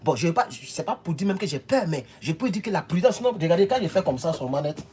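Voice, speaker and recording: A man's voice: on the high side, very animated, fast talker, a little mellow, slight background noise. A man from the Ivory Coast, giving emphatic political commentary in a home video and often repeating himself. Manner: emphatic, repeats himself, rises to insistent peaks.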